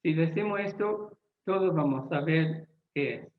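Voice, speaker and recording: A man who is not a native Spanish speaker, a Bible translator giving a lecture-style talk, deliberate and often pausing to search for words. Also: fairly high pitch. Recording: echoey, tinny phone-like sound.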